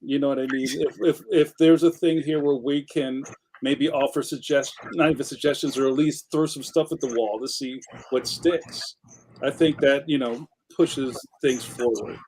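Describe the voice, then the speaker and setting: A man's voice: mid-range voice, steady tone, moderate pace, darkish tone, slight background noise, rough recording. Guy co-hosting a livestream on a call, loose and rambly, keeps saying you know. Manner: casual and rambling, many fillers and restarts, asks for agreement. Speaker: co-host cartoonist. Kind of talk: casual livecast chat. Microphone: video call.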